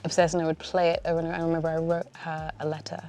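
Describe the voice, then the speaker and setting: Low, mellow-voiced woman chatting. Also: monotone, fast, soft background music. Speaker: singer-songwriter guest. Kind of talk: casual interview chat.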